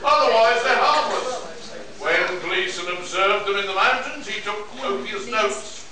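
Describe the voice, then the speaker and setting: A man's voice: sits high, unhurried, a crowd in the background. A man playing a grave, authoritative scientist explorer in a pulp science fiction radio play, declaiming sternly. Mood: impatient, explanatory. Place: convention hall before an audience. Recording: echoey hall, far off, audience noise.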